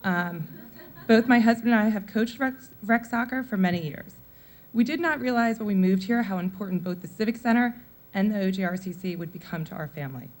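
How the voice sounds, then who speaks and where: A woman in her forties, a mother of four, briskly reads a prepared public comment at a town meeting, running through lists of needs. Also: medium pitch, lively intonation. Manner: brisk, reading from notes with list-like delivery.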